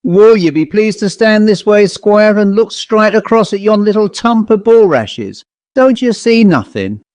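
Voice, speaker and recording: A man voicing a stalwart, blunt village blacksmith who speaks in rough rustic dialect to his squire. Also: high voice, expressive, a little slow, a little mellow, no room sound, voice pushed up. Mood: grimly insistent. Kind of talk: audiobook character reading.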